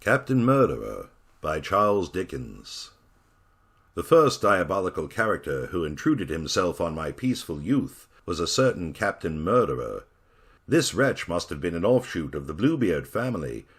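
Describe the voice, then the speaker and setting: A man narrating a Victorian ghost story in a theatrical, expressive storyteller manner. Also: deep voice, normal speed, breathy edge.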